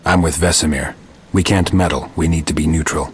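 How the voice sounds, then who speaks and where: An actor playing a calm, reasonable monster hunter in a video game, with terse, restrained delivery. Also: male voice, rumbling low, a little fast, husky, full character voice. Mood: measured, stoic.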